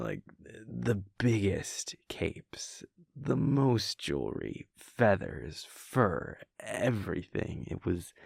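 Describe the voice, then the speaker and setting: A young man playing a laid-back, wry vampire prince boyfriend in an intimate audio roleplay, telling a story in a hushed, halting, amused way. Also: lowish voice, creaky, breathy edge, no room sound, clean studio sound, full character voice. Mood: sardonic, reminiscing. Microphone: close, dry studio microphone.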